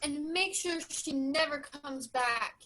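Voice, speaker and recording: A woman's voice: high-pitched, slow, bright, forceful tone, heavily acted, low-quality recording. A woman playing a spoiled young stepsister in a staged reading, whiny and petulant. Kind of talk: staged reading of children's theater.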